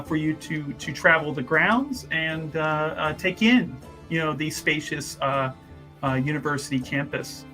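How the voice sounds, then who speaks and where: A man acts out a flustered university official in a tabletop game, with a fairly high voice. He is deferential and eager to placate, stumbling through formal apologies and courtesies. Faint music plays behind him. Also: bright, forceful tone. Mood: accommodating. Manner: halting, deferential, over-apologetic, formal wording. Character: flustered, obsequious male university representative smoothing over trouble. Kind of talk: improvised tabletop roleplay.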